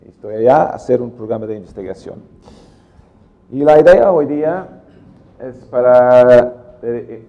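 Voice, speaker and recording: A middle-aged man with an English accent delivers a technical lecture in slow, halting Spanish, filling pauses with "em". His voice is low and mellow. The sound is dull and muffled. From England. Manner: halting Spanish with many "em" fillers. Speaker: guest speaker.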